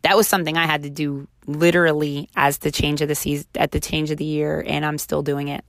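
A woman with a raspy voice recovering from laryngitis, chatting casually and encouragingly on her solo podcast. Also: sits low, flat delivery, fairly quick, no room sound, studio quality. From Houston, Texas. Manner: chatty, encouraging, self-reflective. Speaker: podcast host.

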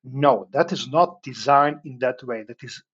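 Serbian-accented man, lower voice, muffled audio. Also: a little slow, a bit bright, breathy edge. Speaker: podcast guest, cloud engineer. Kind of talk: conversational interview talk.

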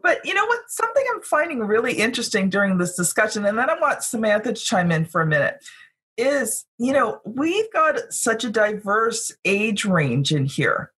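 A woman's voice: fairly high pitch, sing-song, moderate pace, a bit bright, breathy edge. A woman in her fifties hosting a casual group discussion, encouraging the others and steering the conversation. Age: 50s. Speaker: host.

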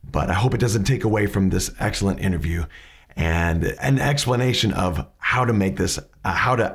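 A man hosting a lesson on asking questions, his voice raspy from hay fever, explaining step by step and often restarting his sentences. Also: lowish voice. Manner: methodical, restarts mid-sentence while explaining. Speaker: podcast host teaching questioning.

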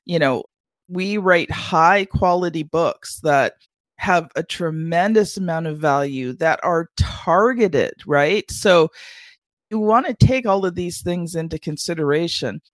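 A woman's voice: on the low side, expressive, unhurried, no echo, clean studio sound. A woman hosting an interview podcast, emphatic and upbeat as she steers the talk. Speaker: podcast host.

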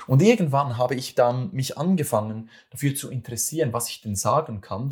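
A man with a Swiss German accent speaks in an earnest, motivational way, addressing the listener directly. He restarts and repeats phrases as he talks, and his pitch sits in the middle range for a man. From Switzerland. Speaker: speaker giving communication advice. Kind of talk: motivational monologue.

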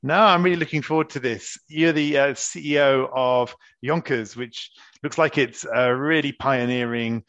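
A man's voice: mid-range voice, moderate pace, fairly bright tone, lo-fi sound. A man hosting a podcast for executives, introducing his guest and interviewing her in an earnest way with frequent fillers. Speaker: podcast host and executive coach.

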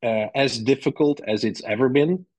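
A man's voice: mid-range voice, moderate pace, darkish tone, dry recording. A middle-aged man with a Dutch accent, a marketing executive talking candidly as an interview guest, blunt and rambling with many restarts. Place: lives in Silicon Valley.

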